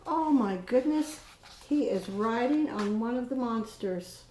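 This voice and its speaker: An older woman, a grandmother storyteller, reads a children's picture book aloud in an expressive, dramatic way with drawn-out pauses and stressed words. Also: on the high side. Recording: roomy echo with faint background noise.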